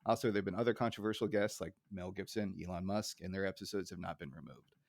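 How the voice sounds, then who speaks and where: A man's voice: low-pitched, quick pace, no room sound, clean studio sound. A man hosting a podcast in a casual, conversational style. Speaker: podcast host.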